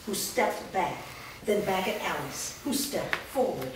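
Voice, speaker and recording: A woman reads stage directions as the narrator of a staged reading. Her voice sits at an average pitch, picked up from a distance in a very reverberant room, with clear background noise. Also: animated, a little slow.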